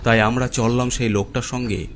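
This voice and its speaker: A man's voice: medium pitch, fairly even intonation, brisk, noisy background. A man narrating a scripted first-person horror story as its storyteller. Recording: clear music bed under narration. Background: background music.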